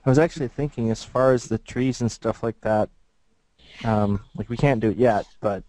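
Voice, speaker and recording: A man's voice: mid-range voice, normal speed, a little mellow, slight background noise, low-quality recording. A man on a livestream team, chatting casually and explaining an idea with easygoing fillers. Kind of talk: casual group chat.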